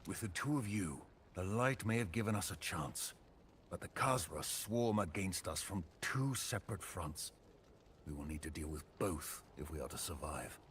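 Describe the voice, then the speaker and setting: An actor playing a stern, battle-worn fort captain in a video game, measured and solemn. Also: male voice, deep voice, animated, a bit bright. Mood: resolute. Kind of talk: dramatic video game acting. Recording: faint background noise.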